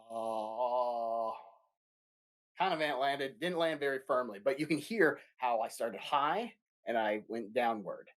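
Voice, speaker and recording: Upbeat male music teacher, lower voice. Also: expressive, slow, fairly bright tone. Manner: upbeat, encouraging, sings demo notes. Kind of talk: remote lesson for young children.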